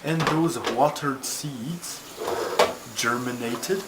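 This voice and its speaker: Man acting a slow, pompous storytelling turtle. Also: medium pitch. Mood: measured storytelling. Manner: ponderous, formal, easily put out. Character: pompous, schoolmasterly male turtle puppet storyteller. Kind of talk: comic scripted storytelling. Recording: noisy amateur home recording.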